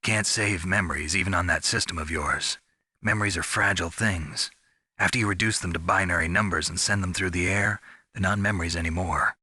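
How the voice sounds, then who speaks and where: An actor playing a gruff, world-weary covert soldier in a video game, terse and deadpan with a gravelly voice. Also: male voice, low-pitched, a little fast, no echo, studio quality, exaggerated voice. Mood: wistful, deadpan. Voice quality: gravelly, husky. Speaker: soldier on a mission. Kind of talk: scripted video game voice acting.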